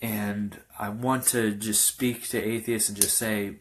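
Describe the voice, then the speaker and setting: Young man, pastor giving his testimony, lowish voice, halting delivery full of fillers, earnest and informal. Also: little pitch movement. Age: young adult. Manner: halting, many fillers and restarts. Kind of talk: personal testimony talk.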